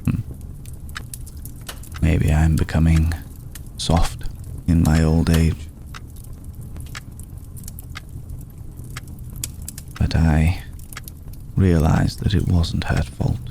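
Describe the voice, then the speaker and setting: A man playing an ancient, aristocratic vampire in an ASMR roleplay, formal, hushed and gravelly, protective and vengeful, with deliberate pauses. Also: very deep voice, monotone, slight background noise, exaggerated voice. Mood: menacing, reflective. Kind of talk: ASMR roleplay, slow intimate monologue.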